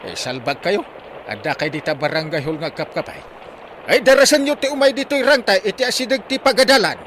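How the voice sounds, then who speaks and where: A man's voice: high-pitched, very animated, brisk, a bit bright, a little hiss or hum. A man playing a flustered, bossy village uncle in a comedy drama, speaking urgently with an Ilocano accent.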